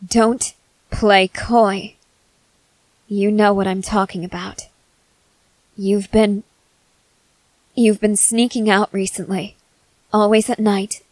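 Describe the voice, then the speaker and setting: Casting: actress as stern young female fighter, wary and intense, unhurried delivery, very dry close sound, ASMR drama. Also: medium pitch, darkish tone, dry recording, lightly acted, rough recording. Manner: slow, deliberate, terse, intense delivery with pauses. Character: stern young female soldier, suspicious, guarded, threatening. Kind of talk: ASMR character roleplay, dramatic acting.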